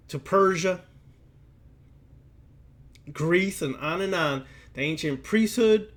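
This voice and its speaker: A man lecturing like a preacher, speaking deliberately and emphatically, with repetition and rhetorical questions. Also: high-pitched, a bit bright. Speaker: occult lecturer.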